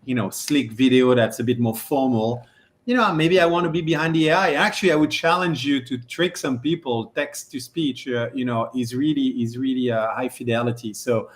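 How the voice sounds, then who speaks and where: A man with a strong French accent speaks casually as a panel guest. He fills his sentences with hesitations and self-corrections, at an ordinary middle pitch. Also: normal speed. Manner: hesitant, many fillers and restarts. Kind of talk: casual video-call chat.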